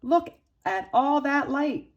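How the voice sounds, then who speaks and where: A woman reading a children's picture book aloud expressively, with dramatic emphasis and pauses for young listeners. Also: high-pitched, animated, dull audio. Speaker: storybook reader for children's church.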